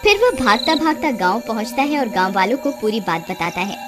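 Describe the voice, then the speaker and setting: A woman narrating a cartoon story in a plain, steady storytelling manner. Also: fairly high pitch, fairly quick. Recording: music behind the voice.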